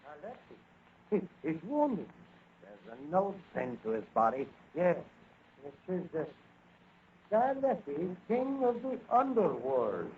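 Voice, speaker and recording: High-pitched, excitable radio patrolman. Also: slow pace, pauses often. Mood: excited, urgent. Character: excitable male police patrolman. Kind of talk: 1940s radio acting. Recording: muffled, faintly noisy old radio recording.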